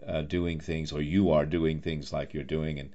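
Effusive man, low-voiced interviewer. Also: level tone, dark tone. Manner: effusive, halting with repeated phrases. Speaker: interviewer, host; actor and director. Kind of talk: warm conversational interview. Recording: dull lo-fi online-call sound.

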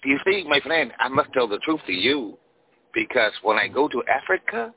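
A man's voice: medium pitch, sing-song, normal speed, a little hiss or hum. A man putting on a Mexican accent as a boastful caller in disguise who keeps saying "my friend.". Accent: put-on Mexican. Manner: repeats "my friend", playful bragging. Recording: thin phone line.

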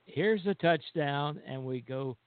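Male radio co-host, casual, slightly high voice, tinny telephone audio. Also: takes it slowly, clear, resonant tone, no echo. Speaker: radio show producer and co-host. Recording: dry, narrow phone-line sound.

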